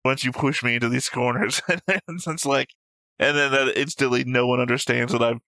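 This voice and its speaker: A man's voice: medium pitch, steady tone, fairly quick, pushed and bright, no room sound, poor audio. A man co-hosting a reaction show, chatting casually about a series in a flippant, argumentative way. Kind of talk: casual discussion of an anime series.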